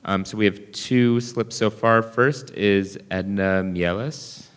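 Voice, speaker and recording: A man's voice: lowish voice, fairly even intonation, slow, clean tone. A man on hearing staff, giving informal procedural instructions and calling up speakers. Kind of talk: running a public hearing. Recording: echoey hall.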